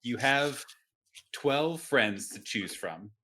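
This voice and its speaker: A man's voice: mid-range voice, animated, slow, pushed and bright. A man casually working out tabletop game story ideas piece by piece, with a wry streak, as a podcast player. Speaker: player in an actual-play podcast. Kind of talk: casual collaborative game planning.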